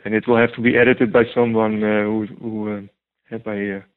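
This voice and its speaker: A man's voice: on the low side, level tone, normal speed, clear, resonant tone. A man from the Netherlands with a Dutch accent, answering interview questions hesitantly with many ums and restarts. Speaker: guest translator. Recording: tinny phone-like line.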